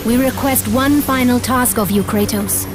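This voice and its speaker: Actress as stately goddess, video game, authoritative, solemn, measured delivery, orchestral music behind. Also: female voice, on the high side, lightly acted. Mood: solemn, commanding. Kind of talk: dramatic video game acting.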